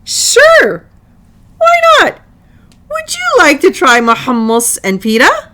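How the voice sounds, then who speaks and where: A proud young schoolgirl from a storybook, played by a woman reader. Her pitch moves in lively swoops, and she draws words out slowly and with emphasis. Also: full character voice. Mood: cheerful, friendly. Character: Selma, young schoolgirl, proud, snappy, friendly underneath. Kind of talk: animated picture-book character reading.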